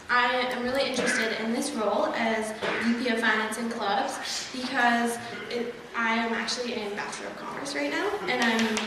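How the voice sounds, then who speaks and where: Young woman speaking far off in an echoey hall. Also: medium pitch. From Russia. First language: Russian. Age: young adult. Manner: nervous, laughs often, earnest. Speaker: student election candidate. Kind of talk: campaign speech at a forum. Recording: echoey hall, distant mic, noisy.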